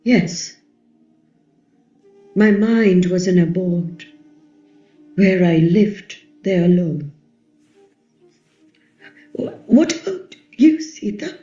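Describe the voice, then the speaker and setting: A woman playing a proud, defiant fire-born epic queen scarred by humiliation, delivering a play reading in a deliberate, dramatic, intense way. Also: on the low side, animated, warm tone, echoey room, dull audio, soft background music. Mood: brooding, probing.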